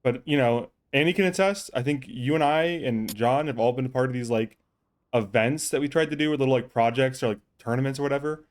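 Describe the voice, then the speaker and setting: A man's voice: medium pitch, fairly quick. A man hosting a live gaming stream, rambling through casual chat with friends and swearing freely as he thinks aloud. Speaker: streamer host.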